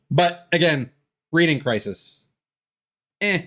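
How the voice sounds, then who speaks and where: A man's voice: on the high side, lively intonation, drawn-out words, a bit bright. A man chatting casually as he streams a game, rambling into sarcastic, exasperated rants. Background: game music.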